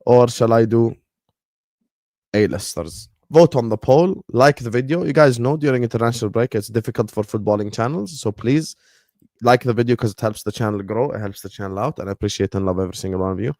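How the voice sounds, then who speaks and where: A man's voice: mid-range voice, brisk, a little mellow, no room sound, studio quality. A man hosting a casual football chat show, chatty, often saying "you get me" and dropping in Arabic phrases. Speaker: podcast host.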